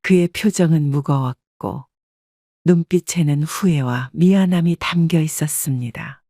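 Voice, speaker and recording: A woman narrating a scripted first-person life story in a calm, even manner. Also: sits low, a little slow, dry recording, studio quality.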